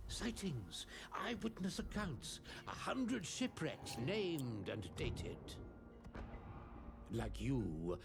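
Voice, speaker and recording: A man playing a grim old storyteller of sinister pirate legends in a video game cutscene, theatrical, hushed and ominous. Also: fairly low pitch, creaky, exaggerated voice. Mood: hushed, intense. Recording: music underneath.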